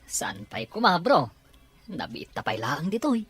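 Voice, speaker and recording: A woman's voice: low voice, sing-song, measured pace, breathy edge, exaggerated voice. A woman voicing a reluctant young man who coaxes a buddy in an audio drama. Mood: reluctant, coaxing. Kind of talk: Ilocano audio drama acting.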